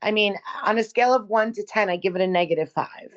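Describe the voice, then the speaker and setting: A woman with a mid-pitched voice co-hosts a casual podcast. She is blunt and sarcastic, voicing strong opinions in salty, informal language. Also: fast talker, highs cut off. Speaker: podcast co-host.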